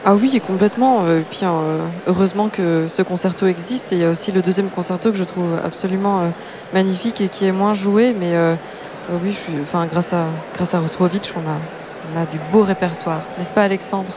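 Enthusiastic low-voiced woman guest. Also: steady tone, fairly quick, background noise. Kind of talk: broadcast discussion.

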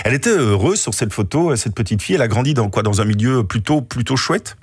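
A man's voice: medium pitch, expressive, fast talker, breathy edge, no echo. A man hosting a radio interview in a studio, welcoming his guest and often rephrasing his questions as he goes.

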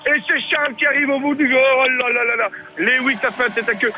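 A very high-pitched, bright-voiced man, reporting live on radio. He speaks in a playful, exclamatory commentator's manner. There is some noise behind him, as on an outside broadcast. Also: fast talker, poor audio. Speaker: field reporter on a radio show. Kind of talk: excited mock race commentary and live chat.

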